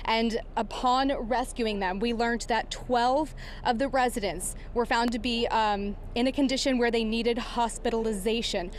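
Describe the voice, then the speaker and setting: A woman field reporter speaks in a slightly high voice over noticeable background noise. She delivers a live breaking news report, explaining things as she goes, with halting, improvised phrasing. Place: outdoors outside a warehouse. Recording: clear background noise outdoors.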